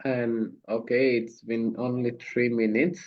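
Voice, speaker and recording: Slow-talking Bangladeshi man hosting a call. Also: medium pitch, level tone. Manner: slow, halting non-native English with fillers. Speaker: host of online speaking practice meeting. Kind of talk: casual video call chat. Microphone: video call. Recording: dull lo-fi call audio.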